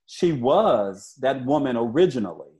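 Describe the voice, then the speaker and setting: An older man interviewing casually over a video call, speaking haltingly and affirmingly. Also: medium pitch, very animated, mellow, muffled recording. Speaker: interviewer, comic creator. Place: living room at home, indoors, on a video call.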